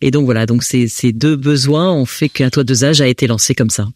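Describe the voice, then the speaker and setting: A woman's voice: very deep voice, fairly quick, a little mellow, no room sound, clean studio sound. A woman with a French accent answers interview questions as founder of a housing association, explaining with frequent restarts. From France.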